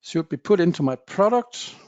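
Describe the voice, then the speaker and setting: Man giving a software demo. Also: high-pitched, animated, moderate pace, no echo. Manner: plain explanatory talk with frequent uh fillers, non-native phrasing. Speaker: software presenter. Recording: dull dry webinar audio.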